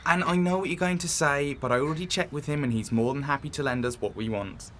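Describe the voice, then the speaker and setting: Actor as eager young male friend, bright forward voice, coaxing, audio drama, faint background noise. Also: fairly high pitch, fast, close to an everyday voice. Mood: eager, persuasive.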